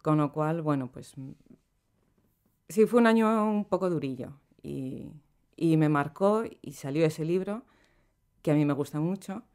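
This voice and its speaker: A middle-aged woman, a poet, talking in a relaxed and reflective way as a podcast guest, laughing easily between thoughts, recorded in a small studio room. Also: sits low, measured pace, clean tone. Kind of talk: relaxed conversational interview. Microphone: studio microphone on boom arm.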